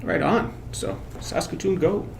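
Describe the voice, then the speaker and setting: An upbeat man hosts a casual panel podcast and keeps nudging his guests along. His voice sits a little high and has a gravelly edge. He is recorded in an echoey room with audible background noise. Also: sing-song, a little slow. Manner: upbeat, encouraging, says "right on". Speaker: podcast host. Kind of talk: casual interview chat. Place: office meeting room.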